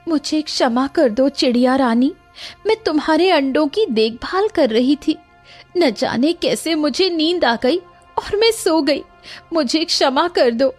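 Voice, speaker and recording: A gentle cartoon queen, played by a woman, with a high, earnest voice. Her manner is pleading and apologetic, as in a moral fairy tale. Faint music runs underneath. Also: expressive, measured pace.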